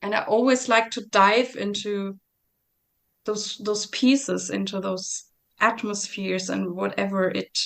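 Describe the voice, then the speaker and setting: A woman singer being interviewed, talking thoughtfully about her voice with many pauses and restarts. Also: mid-range voice, flat delivery, creaky. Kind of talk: reflective conversational interview.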